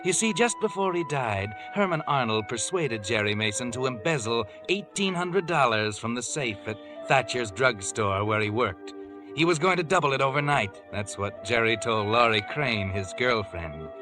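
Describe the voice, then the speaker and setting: Guy doing an ominous old-time radio mystery narrator, sinister and knowing, mid-pitched, music underneath. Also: lively intonation, normal speed, close to an everyday voice. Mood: knowing, grim.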